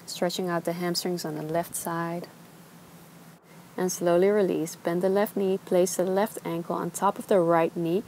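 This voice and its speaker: A young woman teaching a gentle yoga class, giving slow, soothing instructions. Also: fairly low pitch, slight background noise. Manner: calm, slow, soothing cues. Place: outdoors on a beach.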